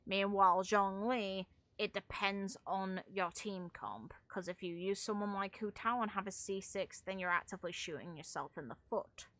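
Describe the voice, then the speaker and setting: A woman's voice: medium pitch, moderate pace, fairly bright tone, no room sound. A woman doing casual, rambling gaming commentary in a frank, casually sweary way, like a streamer talking to viewers. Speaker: game streamer.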